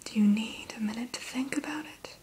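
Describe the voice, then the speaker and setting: Softly whispering woman, very breathy. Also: a little high, a little fast. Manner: mostly whispered, gentle and courteous. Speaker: dragon hatchery keeper in roleplay. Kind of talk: ASMR roleplay.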